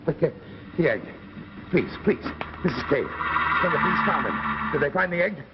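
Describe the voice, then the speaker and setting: A high-strung, obsessive professor played by a male actor in a 1950s drama. His voice is high and swings widely as he stumbles and repeats himself. The old recording is muffled and very noisy. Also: lively intonation, lightly acted. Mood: anxious probing. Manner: agitated, halting, repeats words. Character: excitable, obsessive male professor, pleading and stammering. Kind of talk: 1950s anthology drama acting.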